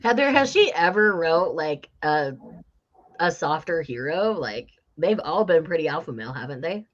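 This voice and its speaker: A woman with a fairly low voice gives frank opinions in a casual chat about books. The recording is a little dull and lo-fi. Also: sing-song, normal speed. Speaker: book discussion panelist.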